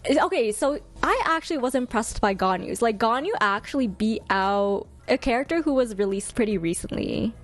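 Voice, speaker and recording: A woman streamer chatting casually with her viewers, commenting in an expressive, gushing way and thinking her choices through out loud. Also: a little high, normal speed, few pauses. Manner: expressive, gushing, deliberating aloud. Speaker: game streamer and host.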